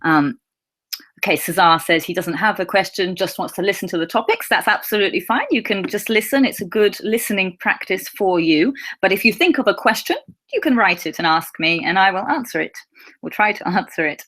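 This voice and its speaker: A young woman whose was Russian. She answers viewer questions in a chatty, easygoing way as a teacher and speaks clearly for learners. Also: mid-range voice, lively intonation, fairly quick, fairly bright tone. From England. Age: young adult. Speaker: English teacher. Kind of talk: casual live Q&A.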